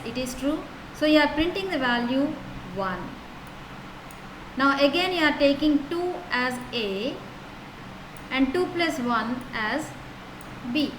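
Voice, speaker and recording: A woman teaching a programming lesson, talking through code step by step in a steady, explanatory way and often starting with "so" and "now". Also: sits high. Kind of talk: lecture walking through code. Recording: echoey room with noise.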